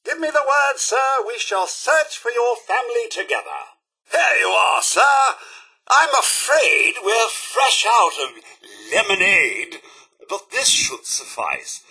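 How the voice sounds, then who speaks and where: Posh-mannered british robot butler from a game, super loyal n theatrical, raspy edge, takes his time. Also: very high-pitched, very animated, slow, sharp tone, full character voice. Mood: dutiful, polite. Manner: formal, deferential, theatrical. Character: prim, loyal robot butler, fussy, cheerful and wistful. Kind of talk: scripted video game companion dialogue.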